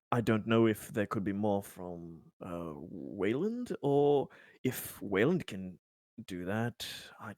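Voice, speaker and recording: Male tabletop player, lowish register, improvising in character; halting, courteous, formal delivery on a dry recording. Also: a little mellow, no room sound. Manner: hesitant, halting, polite and formal. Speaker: tabletop roleplaying game player.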